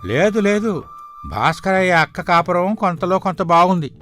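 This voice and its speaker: Man playing a high-voiced, gossipy village elder. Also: lively intonation, moderate pace, a bit bright, faint music behind. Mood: gossipy, pitying. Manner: critical, sardonic, sympathetic to the poor. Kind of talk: dramatised moral story dialogue.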